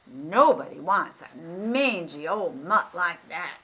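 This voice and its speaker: A woman's voice: fairly low pitch, expressive, slow, pushed and bright, full character voice. A woman reading a blunt dog catcher. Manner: blunt, matter-of-fact. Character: stern dismissive man, dog catcher.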